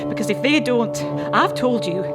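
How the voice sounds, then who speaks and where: A woman's voice: on the high side, expressive, moderate pace, over loud music, lightly acted. A woman acting a forthright, homely housekeeper and wife in an audio drama, with an emphatic, direct manner. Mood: frightened, exasperated. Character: level-headed but frightened, forthright housekeeper wife.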